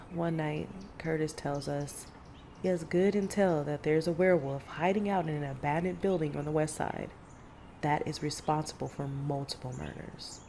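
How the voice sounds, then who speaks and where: A woman acting a low-voiced, grave female monster hunter. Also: moderate pace, mellow. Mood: somber, tense. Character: Stella, grave monster-hunter mother recounting past horrors. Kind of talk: audio drama storytelling. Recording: faint background noise.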